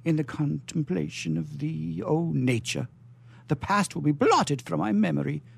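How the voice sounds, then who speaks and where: An actor playing a gentle, blind old father in a florid, melodramatic period stage drama, with drawn-out exclamations. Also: fairly high pitch, creaky, breathy edge, full character voice. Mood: wistful, serene. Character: blind, gentle, aged father living in poverty. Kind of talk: melodramatic 19th-century stage acting. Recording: audible background noise and hum.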